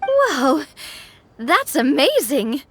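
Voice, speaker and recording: Chirpy, animated young optimist. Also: female voice, sits high, very slow, exaggerated voice. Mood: playful. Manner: chirpy, playful. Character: cheerful, quirky, relentlessly optimistic young woman adventurer. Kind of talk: anime-style game voice acting.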